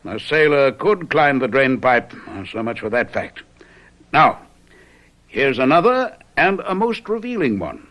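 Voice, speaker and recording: An actor playing a confident, theatrical detective in a radio mystery drama, speaking in a deliberate, emphatic, urbane way. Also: male voice, medium pitch, fairly bright tone. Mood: explaining, assured. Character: confident, theatrical male detective lecturing his companions.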